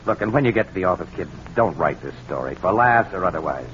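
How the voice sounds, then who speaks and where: A kind-hearted, wry news photographer in a 1940s radio drama. He is American, casual and slangy, with a lowish voice under clear background noise on a dull recording. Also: lively intonation, quick pace, fairly bright tone. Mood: kindly, persuasive.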